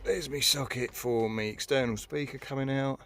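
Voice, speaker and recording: A man's voice: mid-range voice, steady tone, normal speed, fairly bright tone, a little hiss or hum. A British man chatting casually through a DIY job in a car, rambling with wry, self-mocking grumbles. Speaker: hobbyist filming a car radio install. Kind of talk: casual DIY vlog chat. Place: inside a car.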